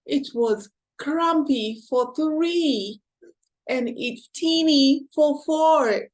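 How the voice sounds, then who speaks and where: A woman's voice: sits high, drawn-out words, pitched above the performer's own voice. A woman with a Kenyan accent voicing a fretful little old woman who wails and pleads about her cramped house in a storybook. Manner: wailing, exclaiming and pleading.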